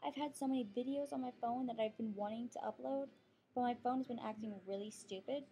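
Teen girl, Texan accent, rambling webcam vlogger; fairly high, mellow voice. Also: a little fast, low-quality recording. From Texas. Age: teens. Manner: rambling, chatty, many fillers and apologies. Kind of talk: casual webcam vlog. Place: living room couch indoors. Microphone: webcam on computer.

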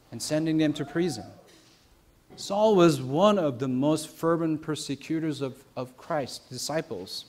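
A young man delivers a sermon in a fairly high male voice. He speaks in deliberate, short phrases and leans on key words for emphasis. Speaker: preacher. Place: church sanctuary.

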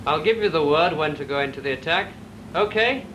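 A man's voice: a little high, expressive, fairly quick, dull audio, natural voice. An actor playing a firm male officer briefing pilots, speaking emphatically in a 1940s training film. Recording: hissy 1940s film soundtrack.

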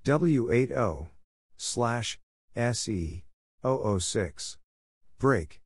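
A man giving a scripted instructional readout of radio exchanges in deliberate, clipped phrases, spelling out call signs. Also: mid-range voice, lively intonation, slightly rough, no room sound, studio quality. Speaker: Morse code practice narrator.

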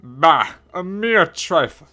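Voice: medium pitch, expressive, slow pace, sharp tone, voice pushed up. A performer voicing a dismissive, shrugging male mouse in a storybook, scoffing and matter-of-fact.

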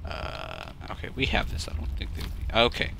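A young man giving joking, excitable let's play commentary while playing a shooter game. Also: fairly quick, a little hiss or hum. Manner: goofy, joking, excitable outbursts. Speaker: gaming YouTuber.

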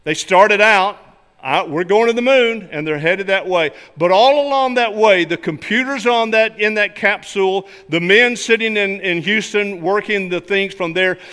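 An older male pastor preaches from the pulpit of a large church. His voice is high and forceful, and his delivery is deliberate and emphatic. The sound carries the long reverberation of a big hall. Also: clear, resonant tone. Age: older, 60s or 70s. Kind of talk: sermon with illustrations and scripture readings.

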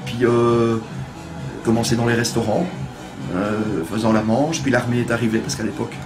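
A man's voice: mid-range voice, level tone, normal speed. A man, chatting casually as a singer being interviewed, with frequent hesitations. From Belgium. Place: small kitchen backstage at a bar. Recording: music playing in background.

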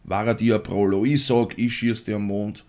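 A man's voice: fairly low pitch, fairly even intonation, moderate pace, a little mellow. A man in his forties with a Viennese accent, vlogging from a small home studio and then performing a spoken-word rap, blunt and crude. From Austria. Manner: blunt, crude, laughs at his own jokes. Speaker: musician and vlogger.

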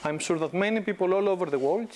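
Greek man giving a relaxed lecture. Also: high voice, fast talker, slight background noise. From Greece. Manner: relaxed, conversational, frequent restarts and fillers. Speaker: guest lecturer. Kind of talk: informal business lecture. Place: university classroom. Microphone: lapel mic.

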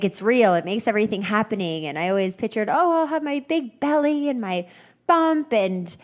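A woman's voice: mid-range voice, a little mellow. A young woman hosting a solo podcast, chatty and upbeat, sounding a little nasal and congested from a cold. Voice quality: slightly nasal. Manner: chatty, upbeat, rambling with fillers. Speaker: podcast host. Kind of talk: casual solo podcast chat.

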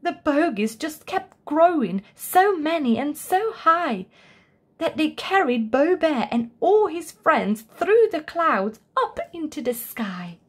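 Woman, children's story narration, high voice, unhurried, very varied intonation. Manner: expressive, deliberate storytime delivery. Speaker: storyteller reading a picture book aloud.

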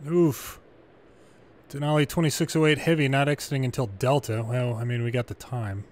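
A man's voice: medium pitch, fairly even intonation, moderate pace. A man hosting gameplay as an air traffic controller, mixing clipped procedural calls with casual asides. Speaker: gaming channel host playing an air traffic controller. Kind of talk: controller phraseology and gameplay commentary.

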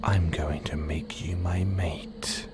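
A man voicing a predatory, possessive werewolf in an intimate audio roleplay, in a breathy, seductive, menacing whisper. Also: sits low, steady tone, slow pace, heavy background noise. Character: predatory, possessive male werewolf stalking his chosen mate.

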